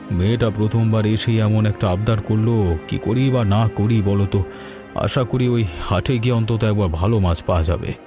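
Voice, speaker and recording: A man's voice: on the low side, moderate pace, music in the background, natural voice. A man playing a polite, mildly worried middle-aged village father in a cartoon story. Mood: worried, searching.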